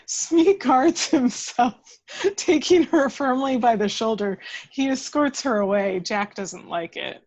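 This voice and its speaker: A woman's voice: high-pitched, takes it slowly, darkish tone, husky. A woman reading stage directions as scripted narration at a table read of a film script.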